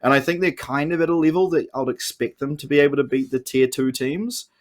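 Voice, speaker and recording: A man's voice: mid-range voice, fast. A man with a New Zealand accent explaining his views at length in casual, analytical podcast chat, like an esports caster. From Oceania.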